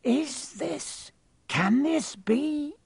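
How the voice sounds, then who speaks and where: A frail old barkeeper in a storybook reading, his thin, very high voice trembling and dropping to breathless whispers, as a man puts him on. The dry, close sound keeps every shaky breath near. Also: sing-song, slow pace, husky, no echo, heavily acted. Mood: amazed.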